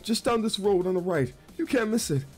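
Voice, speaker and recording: A man's voice: high voice, expressive, a little fast, a little mellow, husky. A man voicing a frightened, timid dog guard, nervous and hesitant, in a comic dub. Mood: resigned, worried. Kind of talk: amateur comic dub acting. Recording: music behind the voice.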